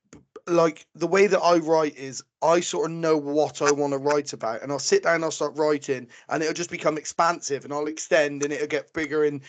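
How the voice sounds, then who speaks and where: A British man with a hoarse, slightly high voice hosts a podcast. He talks in a chatty, rambling way, full of asides. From Britain. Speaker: podcast host. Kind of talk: casual interview talk.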